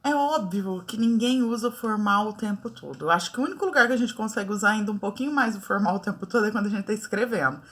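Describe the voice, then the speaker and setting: A Brazilian woman teaching a lesson in a loose, chatty way, dropping little check-ins to her class as she goes. Her voice is bright and clear, at an ordinary pitch for a woman. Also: animated, quick pace, hardly any pauses. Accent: Brazilian Portuguese. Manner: chatty, many fillers like "né" and "tá". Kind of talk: casual online lesson.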